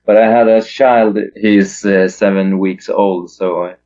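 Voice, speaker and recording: A man's voice: on the low side, fairly even intonation, measured pace, a little mellow, dull audio. A Swedish man in his thirties, a musician guest in a casual interview, hesitant with lots of fillers. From Sweden.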